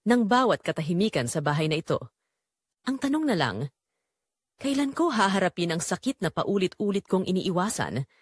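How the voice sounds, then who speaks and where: A woman playing a betrayed middle-aged mother, telling her story in first person with solemn, emotive, deliberate phrasing in an audio drama. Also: mid-range voice, dry recording, clean studio sound. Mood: sorrowful, resolute. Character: betrayed middle-aged mother and wife, wounded, quietly bitter.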